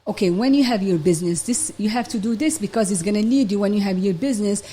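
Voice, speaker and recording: A woman's voice: mid-range voice, fast talker, dark tone, breathy edge. A woman from Morocco, a nail salon owner, talking as a guest in a casual interview and telling stories loosely with many fillers.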